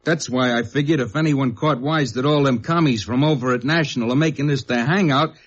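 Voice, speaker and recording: Actor as rough, slangy American saloon keeper. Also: male voice, medium pitch, brisk, close to an everyday voice. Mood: grumbling. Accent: working-class American. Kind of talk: 1950s radio drama acting. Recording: muffled old radio recording.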